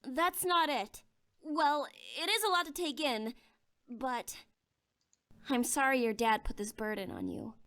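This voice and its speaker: High-voiced, reserved young student. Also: female voice, big pitch swings, measured pace, a bit bright, full character voice. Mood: flustered, apologetic. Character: reserved, rational young female student, awkwardly caring. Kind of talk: visual novel voice acting.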